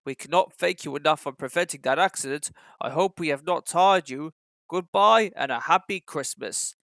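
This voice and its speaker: A man's voice: a little high, normal speed, creaky, sharp tone, no echo. A man playing a courteous, formal railway controller in a children's storybook. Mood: courteous, grateful.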